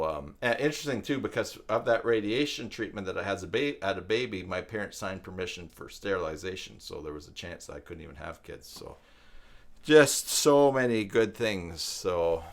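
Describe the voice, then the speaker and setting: Low-voiced middle-aged man, rambling live streamer. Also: gravelly, fairly bright tone. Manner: rambling, thinking aloud, repeats himself. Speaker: live stream host, web tutorial maker. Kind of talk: casual live stream chat.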